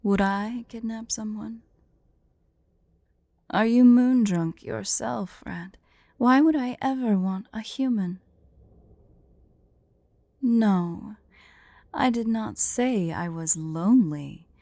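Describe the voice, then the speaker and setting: A woman playing a haughty, prickly but secretly fond female dragon in an ASMR roleplay, imperious and deliberate. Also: a little high, vocal fry, mellow, dry recording, pitched above the performer's own voice. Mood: indignant, threatening. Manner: imperious, indignant, slow and deliberate.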